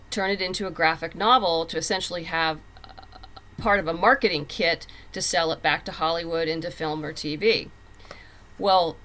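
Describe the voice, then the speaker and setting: A woman's voice: on the low side, pushed and bright. A woman speaking candidly and conversationally as a guest in a casual interview about her own work. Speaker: interview guest, comic book writer.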